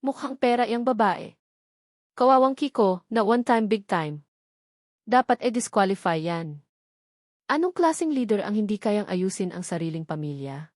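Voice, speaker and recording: A woman's voice: medium pitch, expressive, normal speed, long pauses, a little mellow, no echo, clean studio sound, natural voice. A woman with a Filipino accent voicing scornful, judgmental online gossips in a romance story.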